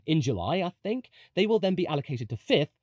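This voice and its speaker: A man's voice: fairly high pitch, big pitch swings, brisk, a little mellow, no echo, studio quality. A man delivering opinionated motorsport analysis in a conversational, emphatic way, as a video commentator.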